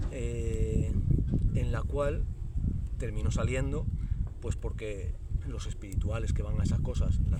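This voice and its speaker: A man's voice: fairly low pitch, distant mic, very noisy. A man in his fifties from Spain, with a Madrid accent, talking through a reflective monologue in a hesitant way, with many fillers and restarts. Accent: Madrid Spanish. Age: 50s. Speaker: spiritual teacher and video host.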